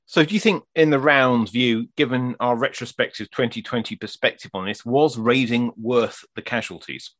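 Measured male interviewer. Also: medium pitch, fairly bright tone. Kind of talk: interview question.

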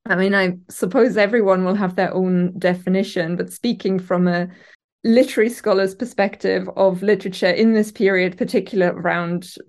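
A woman's voice: medium pitch, fairly even intonation, moderate pace, darkish tone. German-accented woman, thoughtful academic. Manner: thoughtful, hesitant with many ums and restarts. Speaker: literature academic guest. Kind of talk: conversational interview answers. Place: university office. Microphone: remote call from office.

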